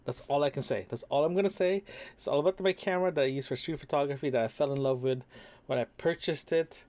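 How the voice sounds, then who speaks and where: A man's voice: on the high side, quick pace, a little mellow. Rambling man talking into a microphone. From Toronto, Canada. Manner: rambling, many ums and restarts. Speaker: podcast host. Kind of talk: casual audio diary. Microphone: single microphone.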